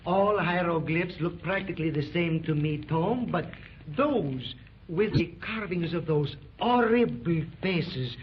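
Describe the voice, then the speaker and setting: An actor with a French accent playing an earnest, loyal naval lieutenant in a 1930s radio serial, on a dull old recording. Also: male voice, a little high, lively intonation, unhurried, crowd noise.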